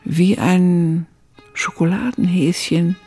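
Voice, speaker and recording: An older woman acting as an elderly narrator who looks back on her youth in a radio drama, with a gravelly, husky voice and measured phrasing. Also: lowish voice, steady tone, warm tone, faint music behind, full character voice. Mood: reflective.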